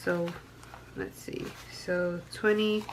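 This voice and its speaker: A woman's voice: lowish voice, steady tone, slow pace. Young woman, stuffy from a cold, chatty with lots of ums, slight hiss in the background. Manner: chatty, counting cash aloud, many ums. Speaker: budgeting vlogger. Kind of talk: casual chat to camera.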